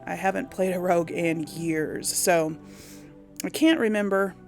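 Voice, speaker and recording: A woman's voice: lowish voice, fairly even intonation, unhurried, vocal fry. A woman hosting a casual game commentary, talking informally to her audience and thinking aloud as she rambles along. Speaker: gaming host. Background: music.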